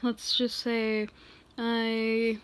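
Slow-talking, reserved female boss acted by a woman. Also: a little high, completely flat delivery, clean tone. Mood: confiding, hesitant. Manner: halting, measured delivery with sighs. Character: reserved female office boss, guarded but softening. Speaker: ASMR roleplay performer. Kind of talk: ASMR roleplay, slow intimate acting.

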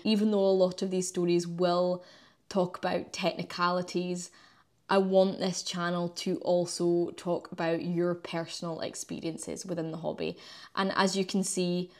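A woman's voice: on the low side, a little mellow. A young woman in her twenties with a Scottish accent, chatting upbeat about her online community, recorded on a lapel mic in a small room. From Scotland. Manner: upbeat, chatty, uses local words like wee and yous. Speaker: astrophotography channel host. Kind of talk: enthusiastic vlog and screen walkthrough. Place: small indoor room by a coat rack. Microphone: clip-on lapel mic.

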